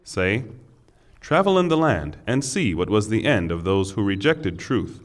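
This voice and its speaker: A man reading a scripture translation aloud as narrator, in solemn, measured phrases. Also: lowish voice, sing-song.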